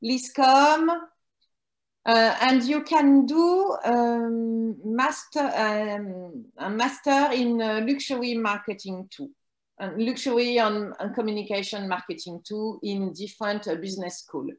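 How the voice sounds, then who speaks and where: A woman with a French accent, a native French speaker, explaining fashion PR haltingly with many fillers and restarts. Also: fairly high pitch, reverberant. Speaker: fashion PR guest. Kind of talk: hesitant conversational explaining.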